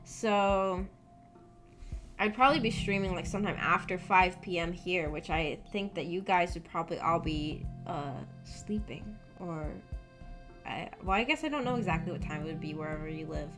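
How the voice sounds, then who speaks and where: A woman's voice: lowish voice, moderate pace, a bit bright. A young adult woman streamer chatting casually with her viewers in a shy, rambling, hesitant way. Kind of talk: casual chat with livestream viewers. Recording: music bed under the voice. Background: background music.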